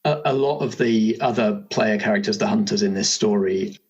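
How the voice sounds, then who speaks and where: A man with a lowish, somewhat husky voice, recorded a little dully. He hosts as game master, casually talking a player through the options and asking questions. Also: moderate pace, lo-fi sound. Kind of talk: casual guided interview for tabletop character creation.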